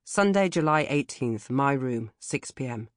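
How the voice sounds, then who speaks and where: A British woman reading comic first-person audiobook narration in a droll, expressive manner. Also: extremely low pitch, unhurried, no echo, studio quality. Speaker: author reading own audiobook.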